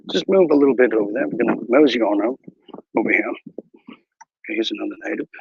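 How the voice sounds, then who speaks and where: A man playing a bumbling, boastful wilderness ranger who hosts a mock nature show, talking in a mock Australian accent with hushed, mock-serious narration and a husky voice. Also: medium pitch, dry recording, dull audio. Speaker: mock wildlife show host. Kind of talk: improvised parody nature documentary.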